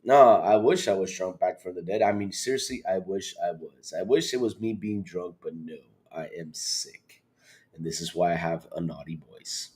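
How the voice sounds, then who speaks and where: Man, livestream host, congested and hoarse, animated casual chat, mild swearing. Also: moderate pace. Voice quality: hoarse, grumpy-sounding congested voice. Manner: animated, loud bursts and low asides, mild swearing. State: congested, sick with allergies or a cold.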